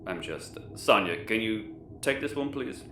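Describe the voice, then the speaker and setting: A man's voice: lowish voice, lively intonation, unhurried, fairly bright tone. An actor playing a formal, dryly exasperated male crewmate in a sci-fi audio comedy. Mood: weary. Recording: roomy echo, clear background noise.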